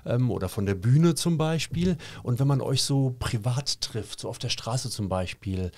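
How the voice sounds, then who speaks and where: A male podcast host with a medium-pitched voice speaks calmly, asking open questions and backing up his guests with short sounds of agreement. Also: moderate pace. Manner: calm, affirming, many short backchannels. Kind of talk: interview questions.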